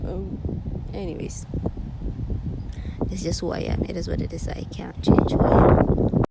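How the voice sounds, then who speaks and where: A woman's voice: lowish voice, little pitch movement, a little slow, gravelly. A woman ranting in a casual, rambling way, full of filler words and tag questions, with little laughing asides. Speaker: monologue performer. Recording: loud wind noise over the mic.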